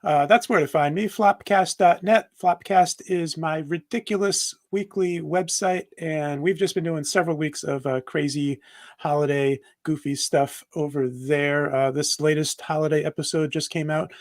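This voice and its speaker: A man's voice: a little high, steady tone, moderate pace, dry recording, clean studio sound. A man chatting casually on a panel as a podcaster. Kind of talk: casual chat.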